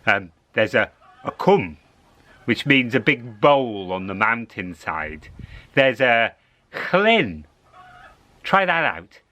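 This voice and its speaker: A man telling a story to children in an expressive, encouraging way, repeating phrases for dramatic suspense. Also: medium pitch, slow, long pauses.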